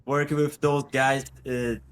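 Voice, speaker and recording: A man's voice: mid-range voice, level tone, a little slow. A man from Brazil with a Brazilian Portuguese accent, Portuguese as his first language, speaking hesitantly as an actor guest in a casual interview. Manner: hesitant, many restarts.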